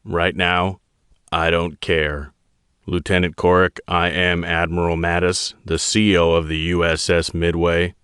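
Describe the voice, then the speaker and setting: A man voicing a stern, commanding navy admiral who speaks in slow, icy, clipped orders. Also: sits low, little pitch movement, a bit bright, clear, resonant tone, no echo, clean studio sound. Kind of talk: audiobook character voice.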